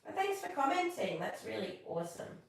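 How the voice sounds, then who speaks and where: Echoey, lively Australian woman storyteller. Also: fairly high pitch, fairly quick, creaky, a little hiss or hum. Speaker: live stream host reading bedtime stories. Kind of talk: casual chat and expressive storybook reading. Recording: echoey room, distant from mic.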